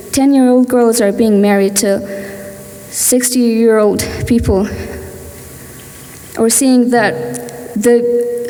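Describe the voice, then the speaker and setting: A teenage girl speaks earnestly in a fairly high, mellow voice, frequently restarting her sentences. The sound carries a long reverb from a large hall. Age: teens. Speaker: student speaker. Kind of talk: speech at a gala.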